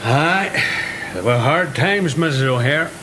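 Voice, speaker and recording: A talkative foreman in a radio play, a friendly storyteller. His voice is fairly high and lively in pitch, with faint noise behind. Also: slow pace, exaggerated voice. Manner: chatty, storytelling. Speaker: Mister Jennings, mill foreman. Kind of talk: radio drama acting.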